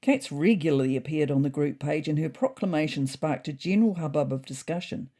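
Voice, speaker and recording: A woman playing a wry, observant cat narrator, telling a scripted comic diary story in a husky, expressive voice. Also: low voice, brisk. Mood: dryly amused.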